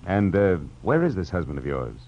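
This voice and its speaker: An actor playing a wry, hard-boiled American private detective with clipped, sardonic delivery, in 1940s radio noir style. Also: male voice, deep voice, very animated, fairly quick, exaggerated voice. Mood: wry probing. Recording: dull old radio recording.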